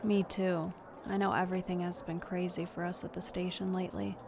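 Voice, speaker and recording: Frank, supportive friend, faint noise. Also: female voice, fairly low pitch, little pitch movement, fairly quick, vocal fry. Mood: casual, weary. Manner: conversational, frank. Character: candid, supportive female friend working in law enforcement. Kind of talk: audio drama acting.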